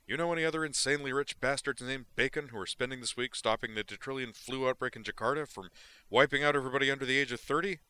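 A man voicing a brash, frantic male doctor in a read-aloud story, shouting and cursing. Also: mid-range voice, quick pace, hardly any pauses, clear, resonant tone, voice pushed up, close to an everyday voice. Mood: exasperated. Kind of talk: audiobook character voice.

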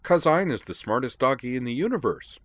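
A man's voice: mid-range voice, sing-song, a little fast, fairly bright tone, pitched above the performer's own voice. A man voicing a sweet, bubbly young woman with a gleeful, childlike manner in an audiobook story.

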